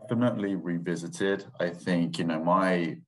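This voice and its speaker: A man in his thirties with a low, deep voice, talking casually as a podcast guest. He speaks in a reflective, halting way and stops often to find his words. His was Thai. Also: fairly even intonation. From England, grew up in Swindon. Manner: reflective and hesitant, with many fillers and restarts. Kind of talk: casual interview.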